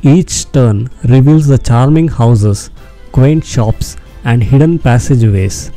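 A man's voice: medium pitch, slow pace, warm tone, soft background music. A man delivering scripted narration as the narrator of a travel vlog.

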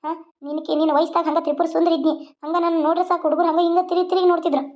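A woman's voice: very high-pitched, little pitch movement, very fast, highs cut off. Woman doing a blunt old village granny with a North Karnataka accent. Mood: boastful. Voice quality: scolding, lecturing, rustic.

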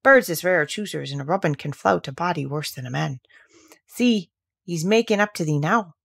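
Lady reading as a friendly yorkshire lad, easy quick talk, bit of gravel in it. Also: on the low side, expressive, full character voice. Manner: quick, easy, good-humoured speech. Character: cheerful country boy, easygoing, friendly with animals.

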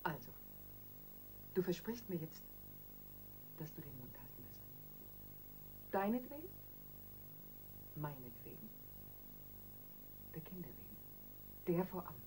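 A woman's voice: low-pitched, big pitch swings, measured pace, long pauses, mellow, slight background noise, low-quality recording. An actress in a German radio crime drama playing a calculating, insistent wife who works on her husband with emphatic persuasion. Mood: coaxing.

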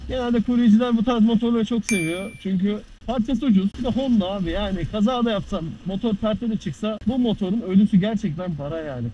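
A man's voice: sits high, warm tone. A man chatting casually as he rides a scooter through city traffic, explaining the bike as he goes. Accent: Istanbul Turkish. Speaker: motovlogger. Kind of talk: casual riding commentary. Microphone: helmet-mounted action camera. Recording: muffled action-camera audio with faint traffic noise.

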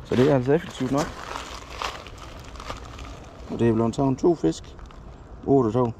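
A man's voice: medium pitch, warm tone, a little hiss or hum. A man narrating his own fishing trip in a chatty, rambling way, recorded on a head-mounted camera outdoors at a lakeside. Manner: chatty, self-correcting, rambling. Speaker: fishing vlogger. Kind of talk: casual fishing vlog narration. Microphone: head-mounted action camera.

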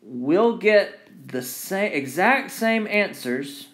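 Man teaching, slightly high-pitched voice. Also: animated, takes it slowly, fairly bright tone. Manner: repeats key phrases for emphasis; checks in with "right?" and "all right". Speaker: engineering instructor. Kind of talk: informal lecture with handwritten notes.

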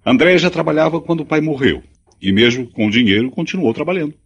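An actor playing a gentle, resigned, educated drifter in a Brazilian radio drama, with formal diction. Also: male voice, a little high, lively intonation, fast, slightly rough. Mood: explanatory.

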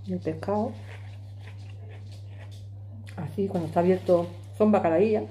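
A woman's voice: fairly low pitch, fairly even intonation, a little slow, mellow, slight background noise. A woman in her forties vlogging from her home kitchen, chatting to a phone camera while she eats. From Madrid, Spain. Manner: chatty, emphatic, talks with her hands. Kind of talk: casual chat to camera while eating. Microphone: phone camera on the table.

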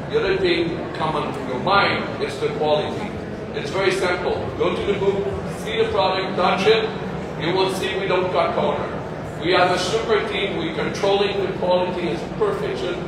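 Canadian founder, halting English pitch, loud room hum. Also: male voice, recorded from a distance. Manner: halting non-native English, plain short sentences. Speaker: company founder presenting. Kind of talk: stage product pitch. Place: large convention hall stage. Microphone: far phone camera in audience. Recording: echoey hall recorded from the audience.

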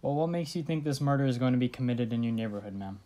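Woman acting very deep-voiced, dismissive police sergeant. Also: lively intonation, fast, dark tone. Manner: brusque, placating, weary. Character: bored, dismissive New York police desk sergeant. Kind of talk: old radio thriller acting.

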